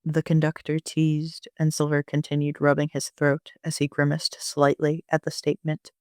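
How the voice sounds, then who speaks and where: Male amateur narrator: slightly high voice, flat and understated, gravelly fry, first-person story reading. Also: normal speed, no room sound, clean studio sound.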